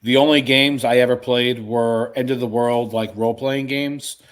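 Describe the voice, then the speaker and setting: A man's voice: medium pitch, fairly even intonation, moderate pace. A man chatting casually as a podcast panelist. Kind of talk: casual chat.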